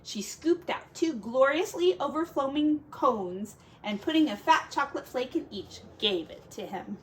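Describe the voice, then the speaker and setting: A woman teacher reads a children's story aloud in a fairly high voice. Her pace is slow, with dramatic stress and drawn-out words, and she slips into casual asides. There is a little background noise in the recording. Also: expressive, poor audio. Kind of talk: expressive picture-book storytelling with casual asides.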